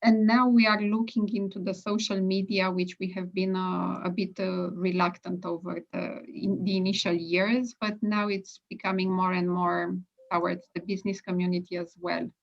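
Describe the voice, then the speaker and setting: A woman from Romania with a Romanian accent, introducing herself on a video call, halting with many fillers. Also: lowish voice, level tone, vocal fry. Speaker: brokerage and marketing staff. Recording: dull lo-fi call audio.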